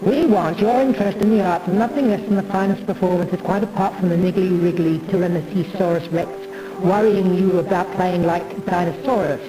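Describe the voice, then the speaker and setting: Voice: medium pitch, brisk. Echoey, distant, theatrical rambling speaker with music. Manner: rambling, mannered, addresses listener as "pal". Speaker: amateur pianist and performer. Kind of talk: rambling theatrical monologue to camera. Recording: roomy echo, distant mic, music behind.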